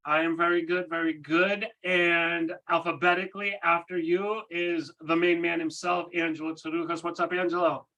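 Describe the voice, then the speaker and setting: A man hosts a podcast in a high, bright voice. He sounds playful and upbeat as he introduces the show. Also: flat delivery, moderate pace, few pauses, lo-fi sound. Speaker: podcast host. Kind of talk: casual podcast hosting.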